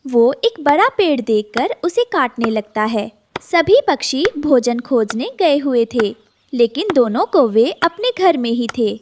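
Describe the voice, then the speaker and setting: A woman's voice: high-pitched, big pitch swings, a little slow, a little mellow, a little hiss or hum. A woman narrating a scripted children's cartoon story.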